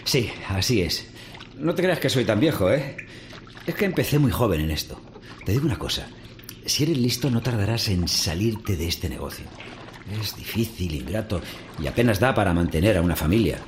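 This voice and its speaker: A middle-aged, world-weary farm veteran in a radio drama. He has a low voice and a Castilian Spanish accent, over faint noise. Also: very animated, normal speed. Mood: resigned, cynical. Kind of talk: radio drama acting, conversational. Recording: faint background noise and ambience.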